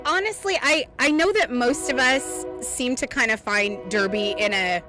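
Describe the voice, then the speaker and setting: A woman's voice: fairly high pitch, measured pace, over music. Country-accented woman, laughing and candid. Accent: Southern US country. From Southeast Missouri. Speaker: roller derby skater. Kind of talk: casual interview answers.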